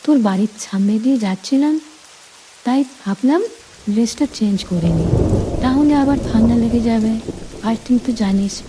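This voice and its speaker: A woman narrating a scripted romantic story in the first person, deliberately, in short phrases. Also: a little high, lively intonation, heavy background noise.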